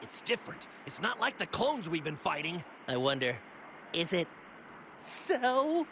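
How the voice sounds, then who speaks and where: A man voicing an anxious, loyal everyman fighter in an anime video game, expressive and hesitant, over music. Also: sits high, a little slow, vocal fry, background noise, heavily acted. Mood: earnest. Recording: loud music behind the voice.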